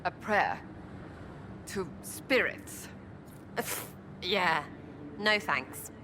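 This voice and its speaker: A woman's voice: on the low side, expressive, drawn-out words, noisy background. A woman playing a scornful, jumpy young adventurer in a video game, clipped and dismissive. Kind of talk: video game dialogue acting.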